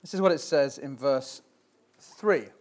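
A man's voice: mid-range voice, measured pace, darkish tone. A man filling in as preacher, giving a church sermon and reading scripture in a hesitant, self-deprecating way with many ums. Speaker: stand-in preacher. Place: church platform before a congregation.

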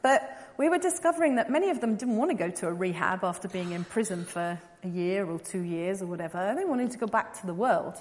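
A woman's voice: mid-range voice, expressive, fairly quick, hardly any pauses. An English woman in her forties gives an informal talk to a church audience as a missionary speaker, chatty with many ums and light laughing asides. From England. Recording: long reverb of a large hall.

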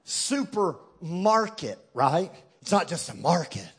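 Husky Texan preacher, joking and emphatic. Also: male voice, high-pitched, sing-song, slow pace. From Texas. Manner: emphatic, joking, call-and-response with the crowd. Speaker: guest preacher. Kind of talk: sermon.

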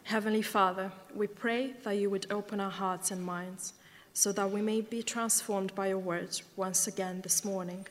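A woman whose first language is Romanian leads a prayer gently, with a few hesitant fillers. Her pitch is mid-range for a woman. A long church reverb and faint background noise surround her. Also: steady tone, normal speed. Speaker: prayer leader. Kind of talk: public prayer.

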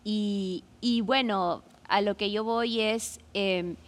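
A woman's voice: mid-range voice, steady tone, slow pace. A woman in her forties, a law student, talking through her experience in a casual podcast conversation, thoughtful and full of fillers. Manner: reflective, many fillers like "este" and "¿no?".

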